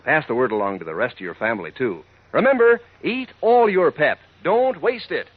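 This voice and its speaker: Male announcer, lively intonation, slightly high, folksy, 1940s radio ad, dull recording. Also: normal speed, noisy background. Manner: folksy, upbeat. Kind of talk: scripted 1940s radio advertising.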